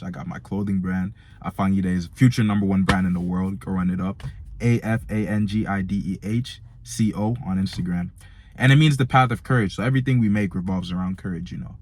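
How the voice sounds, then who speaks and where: Deep-voiced young American man, slangy vlogger. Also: a little mellow. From Nigerian-born, grew up in America from age four. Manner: slangy, direct address to young men, "bro" and "man". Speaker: motivational vlogger. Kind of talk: casual motivational vlog monologue.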